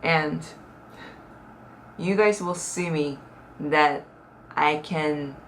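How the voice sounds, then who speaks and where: A woman's voice: deep voice, extremely slow. A young woman with a Korean accent, a native Korean speaker, chatting earnestly to her viewers in a casual vlog, with some hesitant second-language phrasing. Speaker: vlogger. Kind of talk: casual talk to camera. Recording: slightly distant mic with faint room noise.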